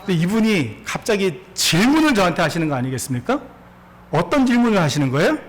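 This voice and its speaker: A man's voice: high-pitched, sing-song, fast. A man in his forties preaching a sermon as pastor, telling a story and laughing along with it, with many questions put to the congregation. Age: 40s.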